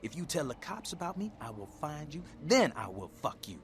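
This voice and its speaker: A man's voice: a little high, big pitch swings, moderate pace, noisy background. An actor playing a brash, foul-mouthed male thug in a video game, with a cocky, slangy, threatening manner. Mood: cocky, menacing.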